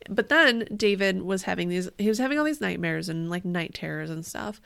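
A woman's voice: on the low side, animated, a little fast, vocal fry, a bit bright, dry recording. A woman co-hosting a podcast, telling a story in a casual, joking, slangy and sweary way. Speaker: podcast co-host.

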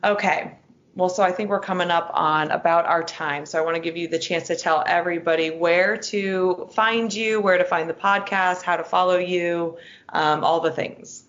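A woman with a low voice hosts a podcast in a chatty, casual way, peppering her talk with "like" and small restarts. Her voice is a little hoarse. The recording sounds echoey, with faint noise underneath. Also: steady tone, moderate pace, fairly bright tone, muffled recording. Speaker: podcast host. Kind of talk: casual interview chat and solo outro.

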